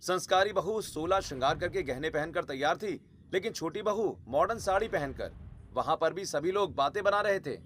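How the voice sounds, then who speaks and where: Fairly high-voiced male story narrator. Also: animated, moderate pace, fairly bright tone, slight background noise. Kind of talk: scripted dramatic Hindi storytelling narration.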